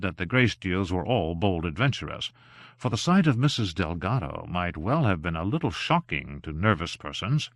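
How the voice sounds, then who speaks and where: A man with a fairly low voice reads a novel aloud in a steady, measured way. The sound is dry and close, with no room around it. He keeps character voices restrained and lets the story carry. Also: no echo. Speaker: audiobook narrator. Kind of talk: unabridged novel reading.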